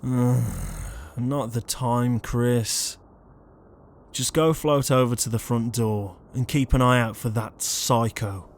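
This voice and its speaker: A man's voice: mid-range voice, steady tone, slow. A man playing a weary, sarcastic young ghost who leads a haunting trio in an audio roleplay. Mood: exasperated, dry. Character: exasperated young ghost leader, reluctant protector, named Liam.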